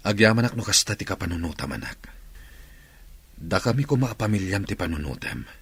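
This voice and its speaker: A man's voice: sits low, animated, fairly quick, fairly bright tone, husky, no room sound, heavily acted. Man playing a worried earnest father. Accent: Ilocano. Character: worried middle-aged father. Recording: noisy background.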